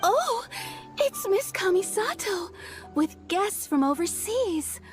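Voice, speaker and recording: Brief: polite game shopkeeper, high and lively voice, measured and businesslike, faint music behind. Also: female voice, full character voice. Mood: courteous. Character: courteous adult female shopkeeper, a businesslike tailor. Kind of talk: game voice acting.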